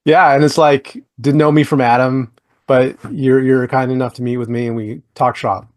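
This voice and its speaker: A man's voice: mid-range voice, level tone, normal speed. Chatty man hosting a podcast. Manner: friendly and chatty, many fillers like "like" and "you know". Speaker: podcast host. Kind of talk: casual interview chat.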